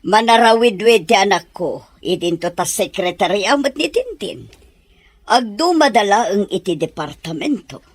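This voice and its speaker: A woman playing a gentle aging mother. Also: medium pitch, animated, moderate pace, dry recording, natural voice. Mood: chatty. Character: aging mother, gentle, eager to see son wed. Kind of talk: audio drama acting.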